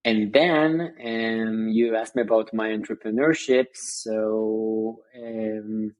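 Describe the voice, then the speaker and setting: A man, a guest chatting on a video call in a halting way with many fillers and restarts. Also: fairly low pitch, steady tone, a little mellow. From Israel. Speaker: guest founder. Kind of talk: casual interview chat.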